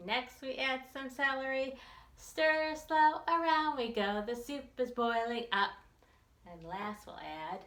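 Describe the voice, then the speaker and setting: A woman leading a children's storytime as a librarian, reading aloud in an expressive, deliberate, sing-song way. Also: a little high. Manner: slow, deliberate, expressive delivery with repetition. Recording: roomy, echoey sound.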